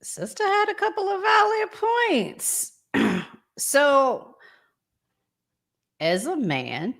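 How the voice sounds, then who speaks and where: A woman's voice: very high-pitched, expressive, extremely slow, a bit bright. A woman with an American accent hosting a casual relationship talk show in a conversational way.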